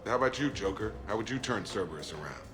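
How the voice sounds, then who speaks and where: A man playing an earnest, steady soldier in a video game, sincere and down-to-earth. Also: on the low side, fast, natural voice. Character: earnest, steady male soldier and expectant father. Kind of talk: scripted game dialogue. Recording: music under the voice.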